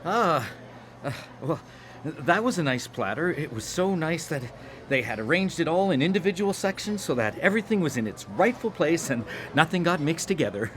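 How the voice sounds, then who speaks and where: Higher-voiced, stammering shy churchgoer. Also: male voice, very animated, normal speed, background noise. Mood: flustered, sheepish. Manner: hesitant, stammering, awkward. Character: shy, earnest, prudish man of faith on first date. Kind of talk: radio drama acting.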